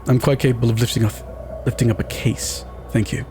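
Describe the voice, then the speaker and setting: A man voicing a suave, guarded curator with a measured, dry, formal way of talking. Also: mid-range voice, steady tone, over music, natural voice.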